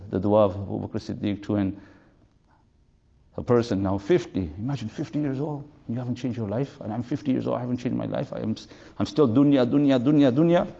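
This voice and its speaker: A man in his fifties teaching a religious class at a quick clip, his voice low and mellow. He rambles through stories and drops in Arabic and Urdu terms. The recording sounds dull and lo-fi. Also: creaky. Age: 50s. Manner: rambling, anecdotal, mixes in Arabic and Urdu terms, recites verses fast. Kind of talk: informal religious lecture.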